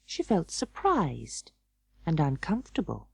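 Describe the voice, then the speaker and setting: Slow, animated woman reading a storybook. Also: deep voice, vocal fry, breathy edge, dry recording. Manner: measured, dramatic storytelling. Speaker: audiobook narrator. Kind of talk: expressive children's storybook reading.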